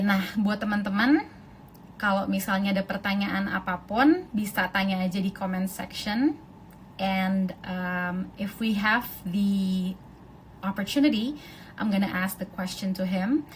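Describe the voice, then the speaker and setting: An Indonesian-accented woman hosting a phone live stream, chatting casually and gushing with excitement. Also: medium pitch, rough recording. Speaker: host of an Instagram live. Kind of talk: casual live-stream chat switching between two languages. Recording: phone live stream with faint ambient noise.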